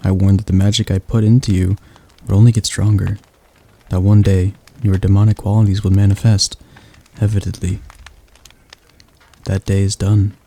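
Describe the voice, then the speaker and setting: A man voicing a calm, gentle male demon tutor in an ASMR roleplay, speaking softly and slowly with a slight stutter. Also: deep voice. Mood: soothing. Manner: soft, slow, soothing delivery.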